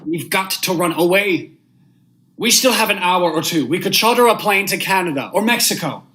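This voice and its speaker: High-voiced, melodramatic haunted judge played by a young man. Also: expressive, moderate pace, bright, forceful tone. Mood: desperate. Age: young adult. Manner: melodramatic, stammering when rattled. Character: panicked, guilt-haunted judge, murderer narrating his own downfall. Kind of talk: vintage radio thriller acting and narration.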